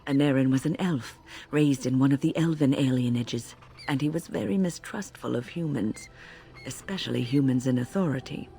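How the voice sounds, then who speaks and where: Regretful elderly woman mage with creaky voice. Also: a bass voice, mellow, soft background music. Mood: wistful, reflective. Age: older. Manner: measured, reflective, confessional, emotive. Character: regretful elderly female mage mentor, grave and compassionate. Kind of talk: dramatic scripted game dialogue, storytelling.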